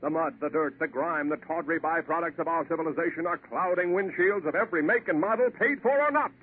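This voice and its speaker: A narrow-band old radio commercial. a pompous male orator with a high voice. He declaims grandly, like a showman addressing the public. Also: fast, husky. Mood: grandiose. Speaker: commercial pitchman. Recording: narrow-band, faintly noisy 1940s radio recording.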